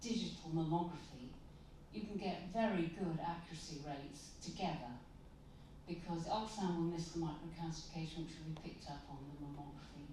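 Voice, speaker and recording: A woman's voice: low-pitched, warm tone. Woman radiologist, pointed questioner, in a roomy hall with faint noise behind. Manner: pointed, critical, measured. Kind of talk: audience question at a conference. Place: large conference hall. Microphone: handheld mic passed around the audience. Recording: echoey hall, distant mic.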